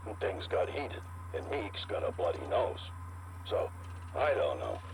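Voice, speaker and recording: An uneasy salvage crewman in a sci-fi game log. His low, gravelly voice swings expressively as he grumbles. There is faint noise behind him. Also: darkish tone, poor audio. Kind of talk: confiding audio log, game acting.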